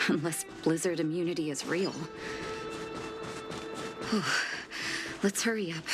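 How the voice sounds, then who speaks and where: An actress voicing a composed, determined woman who is a doctor and leader, speaking in a measured, thoughtful way with soft sighs, with game music behind her. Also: on the low side, natural voice. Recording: studio voice-over with game music.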